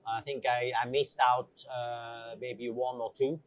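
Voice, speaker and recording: Male, middle-aged, originally from Switzerland. Casual interviewee, halting and conversational, medium pitch, dry sound. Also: little pitch movement, no room sound. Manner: halting, conversational, often says "you know". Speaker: chef, interview guest.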